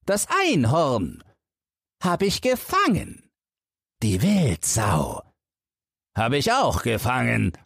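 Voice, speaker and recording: A man voicing a boastful little tailor king from a fairy tale, commanding and drawn-out as he talks in his sleep. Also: wildly swooping pitch, creaky, breathy edge, no room sound, studio quality, heavily acted. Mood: boastful, threatening. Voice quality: bright, high-pitched put-on voice.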